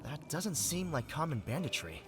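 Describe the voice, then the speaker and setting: An actor playing a pompous, theatrical would-be novelist in a video game, with florid, melodramatic delivery. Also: male voice, fairly high pitch, big pitch swings, moderate pace, a bit bright. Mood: grandiose. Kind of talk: video game character dialogue. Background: game music.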